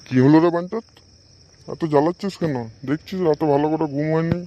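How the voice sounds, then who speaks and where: Grumpy fast-talking Bengali father, acted by a man. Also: on the high side, steady tone, mellow, a little hiss or hum, natural voice. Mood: irritated. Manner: scolding, cursing. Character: grumpy, stingy, foul-mouthed middle-aged father. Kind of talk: amateur comedy cartoon dub.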